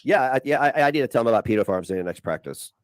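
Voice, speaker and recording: A man's voice: medium pitch, animated, rapid-fire, dry recording, studio quality. A man streamer chatting casually in a boastful, crude way.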